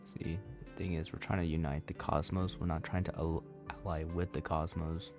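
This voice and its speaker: A man's voice: rumbling low, flat delivery, normal speed, music in the background. A man giving casual, informal playthrough commentary as a gaming video creator, explaining things and sometimes stumbling over words.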